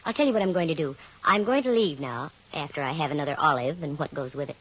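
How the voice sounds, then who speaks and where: A woman's voice: low voice, quick pace. An actress playing a breezy, stubborn woman detective in a 1940s radio drama, with slangy wisecracks and a dull old radio sound. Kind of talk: 1940s radio acting and narration.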